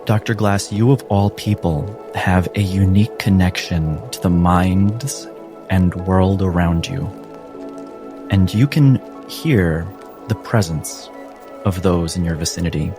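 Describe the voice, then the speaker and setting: Deep, slow-spoken man narrating as game master. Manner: deliberate, dramatic phrasing with pauses. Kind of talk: slow, atmospheric improvised narration of a tabletop game. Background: music under the voice.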